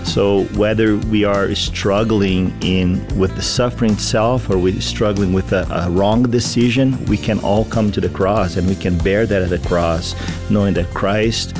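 A man's voice: fairly low pitch, steady tone, measured pace, over music. A Brazilian man, a native Portuguese speaker, talking earnestly and conversationally as an interview guest. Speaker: guest, associate pastor.

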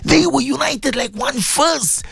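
A woman leading an impassioned, tearful prayer, pleading and swinging between shouted cries and sobbing whispers. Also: high voice, unhurried, gravelly, slight background noise. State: crying. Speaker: leader of a prayer.

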